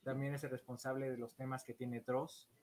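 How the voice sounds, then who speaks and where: A man's voice: medium pitch, flat delivery, normal speed, darkish tone, a little hiss or hum. A man hosting a casual livestream interview, chatty and joking in his manner. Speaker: interview host.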